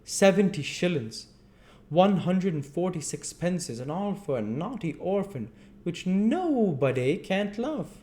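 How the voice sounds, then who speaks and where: A man voicing a pompous, bullying parish beadle in a storybook reading, declaiming self-importantly with rough grammar. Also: sits high, sing-song, slow pace, mellow, voice pushed up, full character voice. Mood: pompous scolding. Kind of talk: audiobook character voice.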